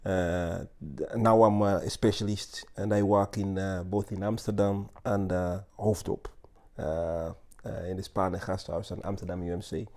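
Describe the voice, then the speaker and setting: A man in his forties from Uganda, an oncologist guest answering interview questions with many pauses, fillers and restarts. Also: low voice, fairly even intonation, darkish tone. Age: 40s.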